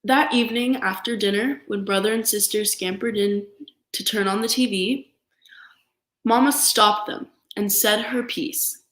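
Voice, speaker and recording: A woman's voice: mid-range voice, fairly even intonation, unhurried, a bit bright. A woman reading a children's picture book aloud as a storyteller. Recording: echoey room.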